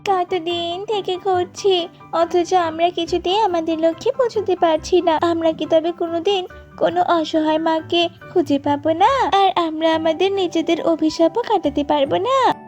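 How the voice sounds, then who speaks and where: A woman voicing a young female cursed monkey in a cartoon, plaintive but determined, with drawn-out pleading phrasing. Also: very high voice, a little mellow, faint music behind. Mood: despairing.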